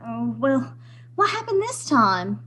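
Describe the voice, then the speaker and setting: A woman's voice: a little high, big pitch swings, slow pace, a bit bright, full character voice. A woman playing a nervous, easily spooked young wife in an old-time radio comedy, anxious and wryly pleading. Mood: disheartened.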